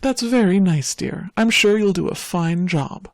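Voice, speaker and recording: A woman voicing a steely, vengeful older mother with a cool, pointed manner in an animated superhero drama. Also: lowish voice, measured pace. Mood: coolly dismissive.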